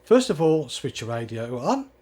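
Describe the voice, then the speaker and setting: A man's voice: mid-range voice, very animated, moderate pace, a little mellow. A man narrating a scripted product demonstration in a methodical, step-by-step explaining manner. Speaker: product reviewer.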